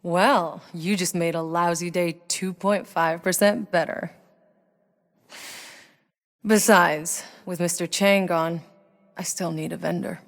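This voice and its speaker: A battle-hardened woman soldier of fortune from a video game, speaking in a slightly low voice. She is dry, blunt and sardonic, with a foul-mouthed edge. The sound is clean studio-dry, with no room on it. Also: steady tone, slow, pauses often, dry recording. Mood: dry, unimpressed. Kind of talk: video game character voice lines.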